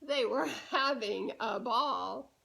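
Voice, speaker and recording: Lady with a high voice doing storytime for little kids, animated, takes it slow, asks them stuff. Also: vocal fry, low-quality recording. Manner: animated, deliberate, asks listeners questions. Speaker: children's storybook reader. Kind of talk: expressive read-aloud to young children.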